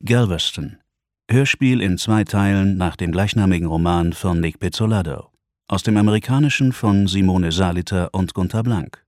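Low-voiced male radio announcer. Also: moderate pace, a little mellow, dry recording, studio quality. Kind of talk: scripted radio drama credits announcement.

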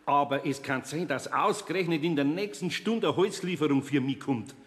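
A man's voice: fairly high pitch, moderate pace, a bit bright, slightly rough, dry recording. An actor playing a worried, good-hearted older craftsman with a Bavarian accent, grumbling and flustered in a radio play. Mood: sheepish. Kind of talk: German children's radio play acting.

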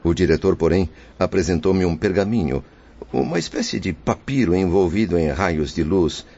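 Deep-voiced, sorrowful repentant spirit. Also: male voice, expressive, moderate pace, vocal fry, warm tone, full character voice. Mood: solemn reminiscing. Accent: Brazilian. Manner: earnest, humble, emotive literary delivery. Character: sorrowful, repentant male spirit recalling his anguished past. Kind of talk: radio drama acting and first-person narration. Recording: dull lo-fi radio drama audio. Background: music behind the voice.

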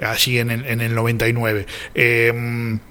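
A man's voice: mid-range voice, monotone, measured pace, sharp tone. A man with an Argentine accent hosting a radio show, giving reflective, conversational commentary. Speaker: radio host.

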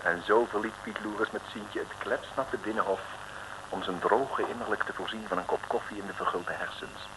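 A man playing the wry storyteller of a comic radio serial, narrating in a deliberate, mock-dramatic way. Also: fairly low pitch, fairly even intonation, natural voice. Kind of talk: scripted narration. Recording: noisy old radio recording.

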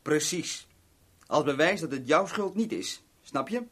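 A man's voice: on the high side, expressive, a little slow, a bit bright, slightly rough, exaggerated voice. An actor playing a cocky, scheming small-time crook in a 1970s Dutch radio drama, chummy and mock-friendly as he boasts and explains. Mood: self-satisfied.

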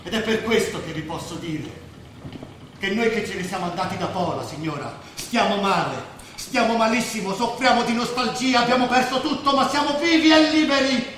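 Displaced lawyer, high male voice, measured theatrical oratory, cautious and nostalgic, stage drama, very echoey. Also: fairly bright tone. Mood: mournful, nostalgic. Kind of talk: staged radio drama acting. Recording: roomy echoing stage, faint noise.

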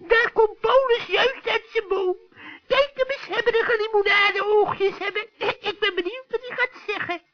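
A woman's voice: extremely high pitch, moderate pace. A woman playing a gleeful, scheming old witch in a children's radio play, gloating and cackling as she talks to herself. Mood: sly, gloating. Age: older. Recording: dull lo-fi old radio recording.

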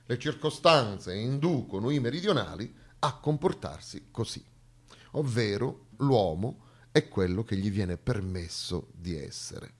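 A man's voice: on the low side, lively intonation, measured pace, slightly rough. A man performing a satirical, colloquial theatre monologue on stage, ironic and full of rhetorical questions.

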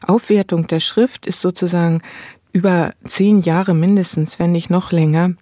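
A woman's voice: lowish voice, steady tone, normal speed, mellow, no room sound, studio quality. A woman speaking as an interviewed scholar, explaining theory in long, carefully qualified spoken sentences. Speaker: interviewed cultural studies scholar. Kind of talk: academic interview, spontaneous explanation.

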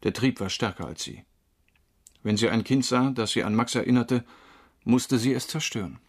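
An actor playing an earnest, knowing lover in a German radio crime drama, with a husky voice and an intent, confiding manner. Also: male voice, mid-range voice, moderate pace. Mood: grave, explaining. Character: tall dark-haired male lover, earnest, knowing, quietly flirtatious.

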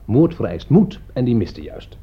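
An actor in a Dutch radio play playing an opinionated, touchy jurist who argues in a pedantic, scornful way. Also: male voice, medium pitch, big pitch swings, unhurried, slightly rough. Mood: insistent, lecturing. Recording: dull, noisy old radio play recording.

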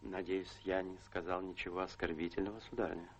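A courteous gentleman lawyer in a radio drama. His voice is on the low side, with measured, solemn phrasing and formal deference. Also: little pitch movement, dull audio, slight background noise. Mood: apologetic. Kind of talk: Russian radio drama stage acting.

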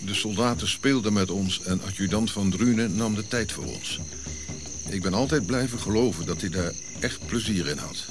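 Radio drama narrator: male actor playing a thoughtful Ashanti prince, measured storytelling, lowish pitch, clearly noisy insect backdrop. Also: close to an everyday voice. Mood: reflective. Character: reflective Ashanti prince recounting his childhood memories.

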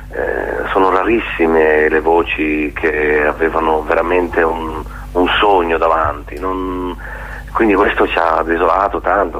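Male interviewee, very low husky voice, reflective and hesitant conversational delivery, slightly dull radio sound. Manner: halting and reflective, often seeking agreement with tag questions. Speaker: theatre maker being interviewed.

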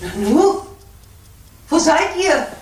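High-pitched brisk old grandmother. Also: sing-song, darkish tone, reverberant, heavily acted. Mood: curious, teasing. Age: older. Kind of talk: German children's radio play acting.

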